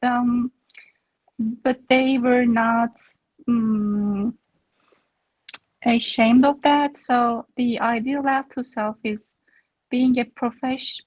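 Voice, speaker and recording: A woman researcher speaks at a slow pace with a fairly high voice and hesitant, halting phrasing. She is answering questions at an academic seminar, and the sound is dry with little room in it. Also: no echo, rough recording. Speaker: research presenter.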